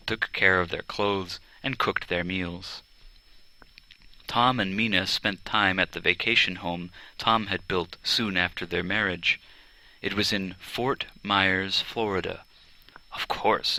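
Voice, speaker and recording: Low-voiced, bright male audiobook narrator. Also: steady tone, unhurried, dry recording, poor audio. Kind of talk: scripted narration of a children's biography. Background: string quartet music.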